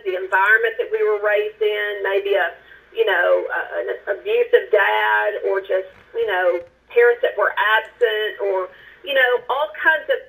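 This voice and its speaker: A woman minister, a guest on the show, telling her personal testimony in an earnest, halting way, often restarting and repeating words. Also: fairly high pitch, a bit bright, poor audio. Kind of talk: personal testimony in a faith interview.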